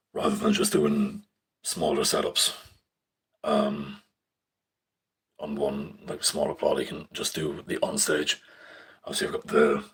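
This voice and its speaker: A young man with a very deep voice, casually walking through a stage plot on screen, rambling with fillers and casual swearing. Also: slightly rough. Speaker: stage tech student. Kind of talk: casual live screen walkthrough. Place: indoors at a screen. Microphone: phone filming a screen.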